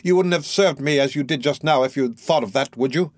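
A man voicing a weary, long-suffering young woman in a storybook, gentle and pleading. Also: medium pitch, a little fast, no echo, clean studio sound, natural voice. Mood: tender pleading.

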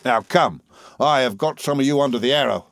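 A man's voice: medium pitch, fairly quick, a bit bright, no echo, voice pushed up, natural voice. A man voicing a spiteful, scheming clerk in an audiobook, sneering and threatening with false familiarity.

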